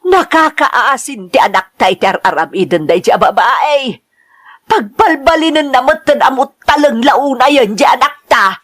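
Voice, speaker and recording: A woman's voice: sits high, lively intonation, unhurried, fairly bright tone, exaggerated voice. A woman acting a worried, gossiping older mother in an Ilocano drama, scolding and disapproving.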